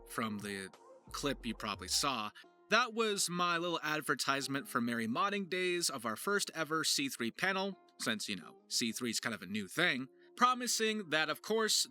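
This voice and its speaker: A man with a very bright, forceful voice and lively, swinging intonation, set slightly high. He narrates his video in an upbeat, emphatic style, like an enthusiastic creator, with faint music behind him.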